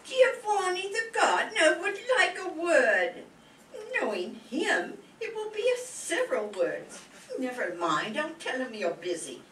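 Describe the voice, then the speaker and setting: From off in an echoey space comes an ancient housekeeper. Her voice is high and swoops theatrically, with a dry, sardonic edge. It suits a radio soap spoof. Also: huge pitch swings, a little slow, heavily acted. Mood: dry, sly. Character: ancient crusty old female housekeeper, dryly sardonic. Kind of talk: radio soap opera parody acting. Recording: distant echoey stage recording, faint noise.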